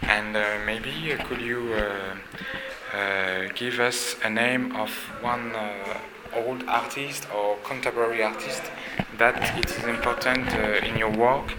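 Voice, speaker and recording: A man's voice: medium pitch, fairly even intonation, takes it slowly. A man interviewing an artist, asking long questions with frequent hesitations and fillers. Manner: hesitant, searching for words, many fillers. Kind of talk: exhibition interview. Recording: loud ambient noise at an exhibition.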